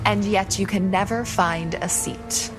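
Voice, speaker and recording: Lady acting a posh-mannered mob boss lady, very slow n deliberate, haughty, music behind, radio drama. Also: mid-range voice, natural voice. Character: haughty, composed crime-family madam.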